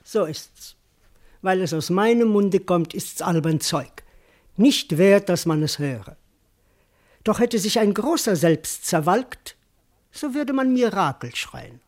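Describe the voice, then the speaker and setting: A woman's voice: medium pitch, expressive, slow pace, darkish tone. A woman playing a cheeky, put-upon male servant in a classical verse radio comedy, protesting earnestly and emphatically with many words. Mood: sulky. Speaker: actor.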